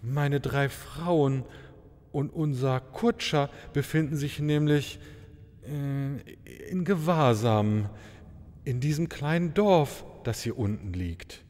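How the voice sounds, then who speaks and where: A man's voice: mid-range voice, slow, darkish tone, close to an everyday voice. A man playing a courteous, dignified travelling maharaja in a fairy-tale audio comedy, speaking in formal, flowery euphemisms. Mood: delicate, embarrassed. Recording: long reverberant hall sound.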